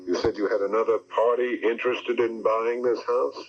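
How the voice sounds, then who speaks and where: An actor playing a moody, short-tempered husband with a brusque, irritable manner in a 1970s radio drama. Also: male voice, mid-range voice, level tone, normal speed, a little hiss or hum, lightly acted. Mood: tense, brusque. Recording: dull lo-fi 1979 radio broadcast.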